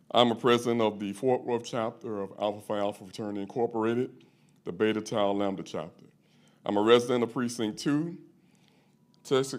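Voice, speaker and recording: A man from Louisiana delivering an emphatic, rhetorical prepared speech as a commenter at a public hearing. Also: medium pitch. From Louisiana, US South. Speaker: public commenter, chapter president of a fraternity. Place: public hearing room in a courthouse.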